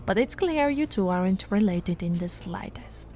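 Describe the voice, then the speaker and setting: A woman voicing a patient, teasing female police officer in an ASMR audio roleplay, speaking softly and coaxingly to the listener, recorded close to the mic. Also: medium pitch, expressive, normal speed, soft background music, natural voice. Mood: matter-of-fact. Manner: soft-spoken, coaxing, playfully flirtatious. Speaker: ASMR voice actress.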